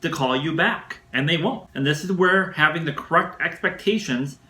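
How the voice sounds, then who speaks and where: A male sales coach with a bright, forceful voice pitched a little high delivers scripted instruction. He phrases things in a measured, emphatic and didactic way. The sound is noticeably roomy and echoing.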